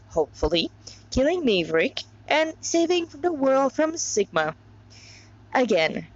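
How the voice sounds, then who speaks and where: A woman from Montreal reading a story aloud in an informal, rambling way, often breaking off for chatty asides. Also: medium pitch. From Montreal, Canada. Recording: dull lo-fi recording.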